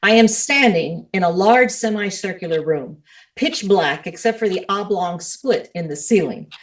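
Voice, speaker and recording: A woman's voice: fairly low pitch, lively intonation, normal speed, lightly acted. A woman playing an earnest radio commentator, reporting and interviewing in a formal old-time radio drama style. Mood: formal, hushed wonder. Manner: formal, clearly phrased, attentive questioning.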